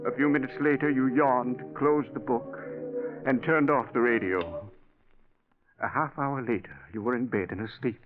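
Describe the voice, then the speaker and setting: An actor playing an earnest dead old man's spirit in 1940s radio drama style, speaking with solemn, deliberate drama. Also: mid-range voice, music in the background, lightly acted. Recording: tinny band-limited old radio recording.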